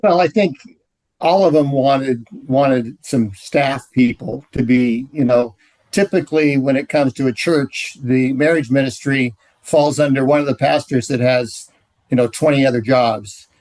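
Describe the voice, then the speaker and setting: A male guest, a ministry leader, answering a question over a video call. He speaks in a thoughtful, halting way with many restarts. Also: medium pitch, a little mellow, no echo, poor audio.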